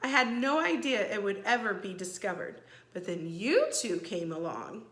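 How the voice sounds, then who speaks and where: A woman voicing a calm, gracious old enchantress in a children's storybook, speaking in a measured, precise way. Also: mid-range voice, echoey room. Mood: kindly, explaining.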